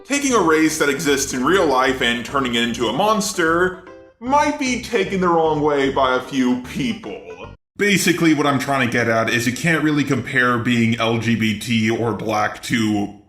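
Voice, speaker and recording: Male robot commentator, synthetic voice, medium pitch, blunt scripted delivery, music underneath. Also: very animated, moderate pace, fairly bright tone, reverberant. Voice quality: synthetic robotic text-to-speech voice. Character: robot persona commenting on cartoons. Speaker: video commentator.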